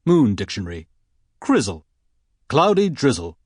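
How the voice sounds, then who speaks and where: A man giving a deadpan comic scripted reading as an audiobook narrator. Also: medium pitch, unhurried, breathy edge, no room sound, studio quality.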